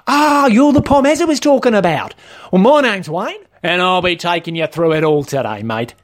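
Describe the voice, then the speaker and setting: Guy doing a blokey aussie jobsworth in a comic story, pitched up high, all mate and rules. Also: animated, normal speed. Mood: breezy, offhand. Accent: Australian. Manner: blokey, officious, laying down rules. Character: officious matey Australian male shop trainer, Wayne.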